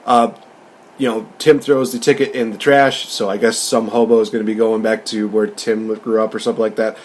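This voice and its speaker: A man's voice: lowish voice, flat delivery, a little fast, slight background noise. A man hosting a casual review discussion, recapping and commenting in an informal, conversational way. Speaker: podcast host reviewing films.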